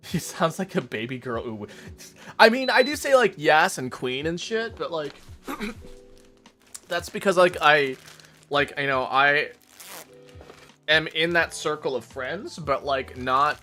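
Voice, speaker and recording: A man's voice: a little high, sharp tone. A man streaming a casual chat, rambling to his viewers while making coffee. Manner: chatty, rambling, talks to chat.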